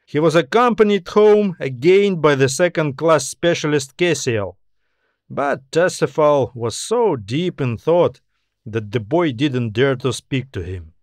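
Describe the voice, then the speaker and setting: A man's voice: fairly high pitch, animated, measured pace, dry recording, clean studio sound. A man narrating a scripted story as an audiobook author and reader.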